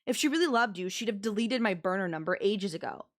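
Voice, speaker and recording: A woman's voice: medium pitch, lively intonation, fast talker, close to an everyday voice. Woman acting an obsessive, manipulative young babysitter. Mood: bitter, insistent. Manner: pleading, defensive, possessive, conversational. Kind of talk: anime-style audio roleplay acting, intimate one-to-one.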